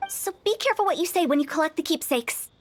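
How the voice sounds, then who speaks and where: A woman's voice: extremely high pitch, expressive, quick pace, slight background noise. An actress playing a mischievous, self-assured young woman who runs a funeral parlor, teasing and giggly. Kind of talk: video game voice acting.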